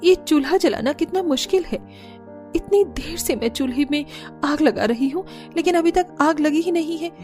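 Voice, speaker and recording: Woman voicing a very high-pitched kind young bride. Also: moderate pace, darkish tone, soft background music, close to an everyday voice. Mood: struggling. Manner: earnest, dutiful. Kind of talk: Hindi cartoon story dialogue.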